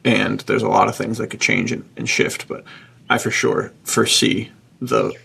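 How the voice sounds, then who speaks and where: A man talks casually as a podcast co-host, full of slangy enthusiasm. His voice is husky and rough with very heavy vocal fry. The pitch stays fairly flat throughout. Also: normal speed. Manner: enthusiastic, slangy, says dude.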